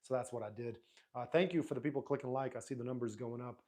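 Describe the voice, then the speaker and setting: A man whose first language is Arabic, hosting a live stream in a relaxed, rambling way, reading viewer comments aloud and answering them. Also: mid-range voice, fast. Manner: relaxed, rambling, frequent restarts and fillers.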